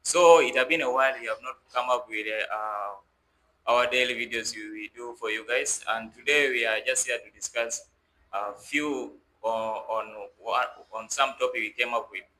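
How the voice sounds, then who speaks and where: A man's voice: mid-range voice, normal speed, bright, forceful tone. A young man hosts a conversational podcast, often repeating phrases as he builds his points. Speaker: podcast host. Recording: roomy echo with faint background noise.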